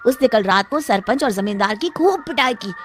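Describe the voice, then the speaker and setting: A woman's voice: a little high, expressive, normal speed, background noise, natural voice. A woman voicing worried, gossipy village men in a cartoon story, alarmed about a ghost. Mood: worried gossip.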